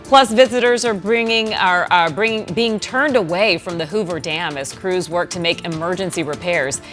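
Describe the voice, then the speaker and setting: Female anchor, lower register, crisp studio read over a soft music bed. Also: normal speed. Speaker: news anchor. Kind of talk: newscast reading.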